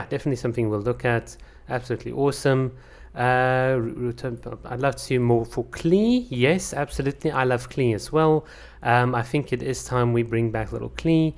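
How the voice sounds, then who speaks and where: A man hosting his channel, reading out viewer comments and chatting about them casually, with lots of fillers and restarts; English is not his first language. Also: medium pitch. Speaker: channel host.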